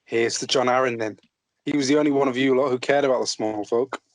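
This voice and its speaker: A man's voice: mid-range voice, steady tone, fast talker, no echo, natural voice, poor audio. A man playing a blunt, irreverent redheaded brothel woman in an amateur script table read. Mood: cheeky, blunt.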